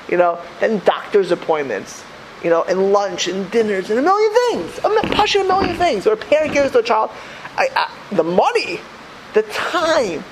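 An animated rabbi in his thirties teaching a class, his voice riding high and swinging widely in pitch. He stumbles over repeated words and restarts often, laughing between points. A faint hiss sits behind him. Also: low-quality recording. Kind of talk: Torah class talk.

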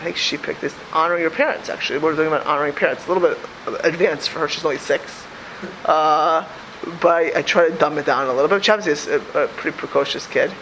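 A man in his thirties, a rabbi giving a casual Torah class, animated, often repeating words and restarting sentences. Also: on the high side, quick pace, slight background noise, rough recording.

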